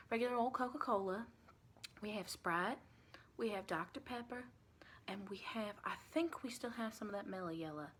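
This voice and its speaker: A woman playing a sweet, chatty vampire lady with a Southern US accent, soft-spoken and partly whispering in a rambling, reassuring ASMR roleplay. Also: fairly high pitch, slight background noise. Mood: coaxing, hospitable. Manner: folksy, rambling, reassuring, doting.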